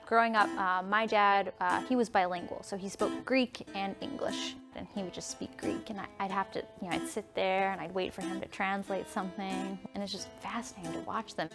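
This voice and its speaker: A woman high school teacher speaking earnestly and reflectively in a documentary interview. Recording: music bed under voice.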